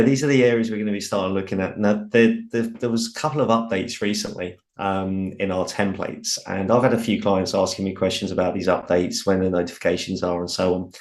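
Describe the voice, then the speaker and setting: A British man with a low, slightly croaky voice leads a software training webinar. He talks informally through a headset, with plenty of ums and restarted phrases.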